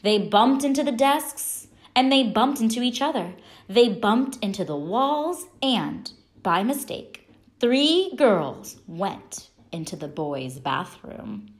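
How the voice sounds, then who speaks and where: A woman reading a children's picture book aloud as a storyteller, expressively and deliberately, with dramatic pauses. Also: on the high side, big pitch swings.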